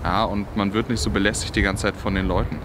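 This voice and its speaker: Young adult male outdoor vlogger, relaxed chatty delivery, camera mic outdoors with clear wind noise. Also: lowish voice, fast. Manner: relaxed, chatty, many "ja" fillers. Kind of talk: casual cooking vlog narration. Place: outdoors in a windy wood in rain. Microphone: camera mic at arm's length. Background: wind and rain.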